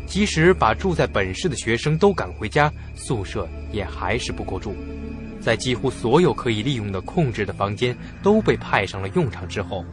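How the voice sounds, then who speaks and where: A man's voice: medium pitch, sing-song, moderate pace, over music. A man narrating a suspense radio drama in scripted storytelling style.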